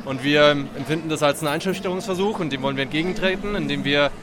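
A man's voice: on the high side, fast talker. Hesitant man amid a festival crowd. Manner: hesitant, many fillers and restarts. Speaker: activist being interviewed. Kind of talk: casual spoken interview. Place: outdoors at a street festival. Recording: loud crowd around.

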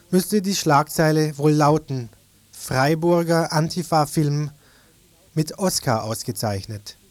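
A man's voice: a little high, a little mellow, no room sound. A man presenting a scripted radio report as reporter and interviewer.

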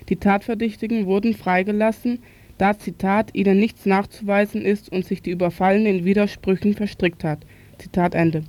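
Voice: medium pitch, darkish tone. A radio commentator reading a scripted political commentary in a formal way, with occasional slips.

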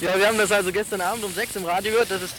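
Fast, high-voiced man in an archive interview. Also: fairly even intonation, background noise.

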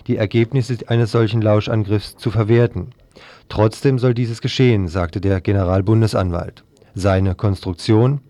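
Mellow-voiced man reading a news report. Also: fairly low pitch, dry recording. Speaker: radio news presenter.

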